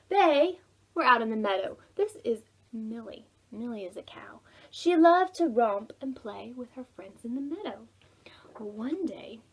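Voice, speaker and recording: A woman with a high voice leads storytime for small children. She goes slowly, stretching words out and softening some phrases almost to a hush. Her delivery is expressive and simple, aimed straight at little listeners. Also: husky. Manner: expressive, drawn-out words with soft hushed phrases; addresses children directly with simple questions. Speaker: children's librarian hosting preschool storytime. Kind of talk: read-aloud storytelling for young children.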